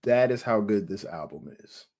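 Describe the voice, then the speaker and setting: A young man co-hosting a casual music review podcast, unhurried and emphatic, often restarting his sentences. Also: fairly low pitch, animated, a little mellow. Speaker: podcast co-host.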